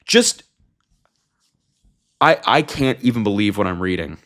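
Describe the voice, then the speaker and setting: A man's voice: lowish voice, big pitch swings, a little slow, a bit bright. A man hosting a political commentary show, reading out reports and reacting with emphatic, indignant disbelief. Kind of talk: reading a transcript aloud with opinionated commentary.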